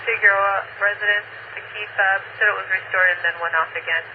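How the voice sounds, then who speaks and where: High-voiced male police dispatcher over noisy radio. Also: level tone, brisk, phone-quality sound. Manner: procedural, repeats addresses and numbers.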